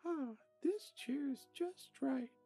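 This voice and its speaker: A man voicing a fussy little girl in a very high falsetto from a storybook. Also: takes it slowly, warm tone, faint music behind, exaggerated voice. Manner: shrill falsetto squeal.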